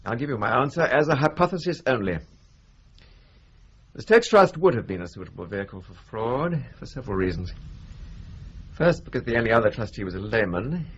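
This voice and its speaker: An actor playing a precise senior male solicitor who speaks in a measured, formal way. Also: mid-range voice, very animated, breathy edge. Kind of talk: radio drama acting. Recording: dull lo-fi broadcast recording.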